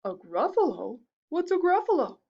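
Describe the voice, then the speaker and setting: Sly hungry fox guy, woman reading it, coaxy, lively up and down. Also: very high-pitched, moderate pace, highs cut off, pitched above the performer's own voice, exaggerated voice.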